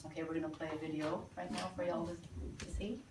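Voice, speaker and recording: A woman in her sixties from the Philippines, giving an earnest, halting presentation to a small group with many fillers. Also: on the low side, little pitch movement, a little mellow, a little hiss or hum. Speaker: presenter at a sales meeting. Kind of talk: informal presentation. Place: meeting room. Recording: echoey room from far off.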